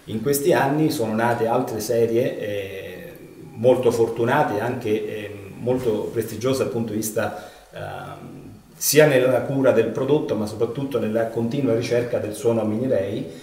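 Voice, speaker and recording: A middle-aged man, a loudspeaker company owner, answering interview questions with many hesitations in a showroom. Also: mid-range voice, animated, normal speed, creaky, recorded from a distance. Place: living room showroom with speakers. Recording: roomy, off-mic sound.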